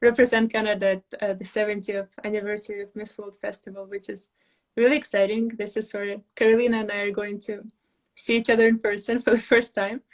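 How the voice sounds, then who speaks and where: A young woman from Moldova, a pageant titleholder, chatting earnestly on a live video call with soft laughs and fillers. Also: mid-range voice, level tone, quick pace. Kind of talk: casual live video chat.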